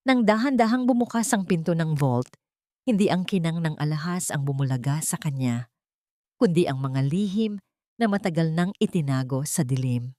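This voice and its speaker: A woman's voice: low voice, lively intonation, normal speed, dark tone, no echo, studio quality. A woman narrating a scripted dramatic story in a solemn, emotive storytelling manner.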